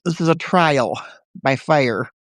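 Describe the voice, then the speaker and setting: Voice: mid-range voice, expressive, takes it slowly, no room sound. Chatty laughing livestream host, dry sound. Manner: chatty, laughs often, rambling. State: recovering from a bad cold. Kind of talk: casual live-video chat. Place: at home in the countryside.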